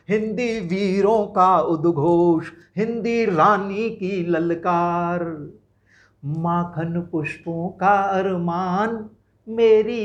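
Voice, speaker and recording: A man, a poet, reciting his own verses in a slow chant, with short, quick, quiet spoken asides between verses. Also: high-pitched.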